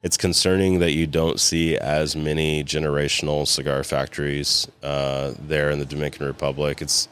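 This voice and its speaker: A man with a strikingly low, smooth, resonant voice. He talks loosely, full of fillers and restarts, with frequent chuckles. He sounds like a guest in a casual sit-down interview. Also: little pitch movement, moderate pace, hardly any pauses, fairly bright tone, no echo. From California. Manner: rambling, many fillers and restarts, chuckles often. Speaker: guest, cigar company co-founder.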